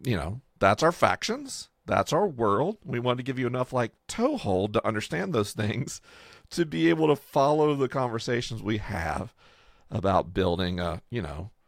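A man's voice: mid-range voice, very animated, moderate pace, vocal fry, dry recording, studio quality. A man explaining a fictional world in a casual, enthusiastic discussion as a host and creator.

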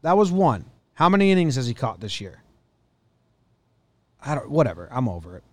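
A man with a mid-pitched voice hosts a casual sports talk show. He speaks bluntly and swears as he vents. The sound is very dry, with no room on it. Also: very animated, fairly quick, no room sound. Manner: blunt, profane venting. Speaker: sports podcast host.

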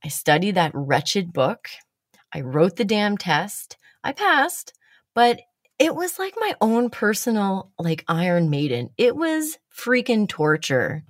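A woman's voice: mid-range voice, sing-song, slow pace, no room sound, studio quality. A woman hosting a casual chat, telling stories about herself with blunt, self-mocking humor and the odd swear word.

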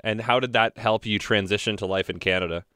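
Calm male interviewer, smooth and resonant, dry close sound. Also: on the low side, a little fast, dry recording. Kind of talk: interview questions.

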